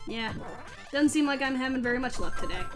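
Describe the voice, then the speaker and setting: A woman with a high-pitched voice hosts a let's play. She chats casually to her viewers and pokes fun at herself as she goes. Faint video game music plays behind her commentary. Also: a little fast. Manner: chatty, self-deprecating. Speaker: gaming video host.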